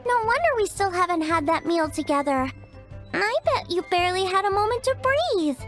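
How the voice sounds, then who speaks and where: A cheerful young woman cook from a video game. She chats eagerly in a very high, lively voice. Clear game music runs underneath. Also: normal speed. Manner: chatty, eager. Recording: game audio with music behind.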